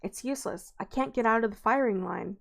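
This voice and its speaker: A woman's voice: fairly high pitch, brisk, gravelly. A woman reading aloud, voicing a frightened young girl trapped in danger, pleading and panicked.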